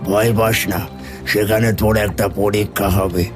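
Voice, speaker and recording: A man voicing a wise old holy hermit in an animated fairy tale, measured and reassuring. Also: fairly low pitch, music in the background, natural voice.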